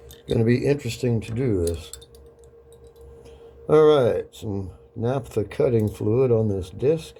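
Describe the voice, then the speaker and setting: A man casually narrating a hands-on workshop demonstration, murmuring to himself in an unhurried way as he works. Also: medium pitch, lively intonation, vocal fry, dark tone, a little hiss or hum. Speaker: record-cutting hobbyist demonstrating a lathe.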